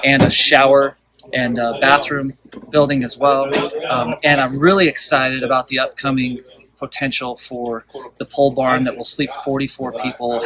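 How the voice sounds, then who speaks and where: A man's voice: mid-range voice, poor audio. A man in his thirties from Colorado giving an earnest, heartfelt personal testimony about a men's retreat. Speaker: retreat participant.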